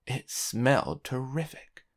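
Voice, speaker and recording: Children's read-aloud narrator, theatrical and deliberate, with highly animated intonation. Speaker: storybook narrator.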